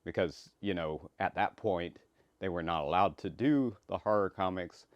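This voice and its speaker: A man introducing his own work in casual, rambling commentary, with a halting delivery. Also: low-pitched, no room sound, lo-fi sound. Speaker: creator introducing his comic.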